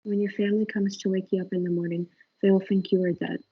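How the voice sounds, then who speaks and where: A young woman playing a calm, scheming friar who acts as confidant, in a stage-play reading. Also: medium pitch, fast talker, slightly rough, phone-quality sound. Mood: secretive, instructing.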